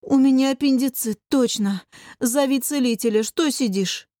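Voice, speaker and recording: A woman's voice: high-pitched, normal speed, dark tone, dry recording, studio quality, lightly acted. A woman voicing a whiny, hot-tempered young man in an audiobook, wailing and dragging out his complaints. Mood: agonized wailing.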